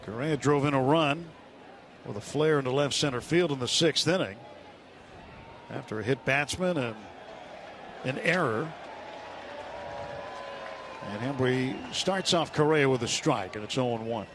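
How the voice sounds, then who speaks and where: A man's voice: medium pitch, measured pace, pauses often, a bit bright, some chatter behind. Male baseball radio announcer over ballpark noise. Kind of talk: live radio play-by-play commentary. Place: stadium broadcast booth.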